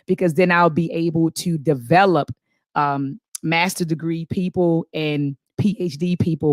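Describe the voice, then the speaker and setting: A woman in her forties from Baltimore, a professor, telling her story as an interview guest in earnest, lively speech with many fillers. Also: fairly low pitch, no echo, clean studio sound. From Baltimore, USA. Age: 40s.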